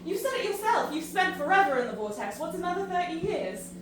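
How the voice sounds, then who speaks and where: A woman playing an earnest, disillusioned human pleading in an audio drama, halting and emphatic in her delivery. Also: very high-pitched, sing-song. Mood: urgent, persuasive. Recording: very echoey, distant, noisy ambience.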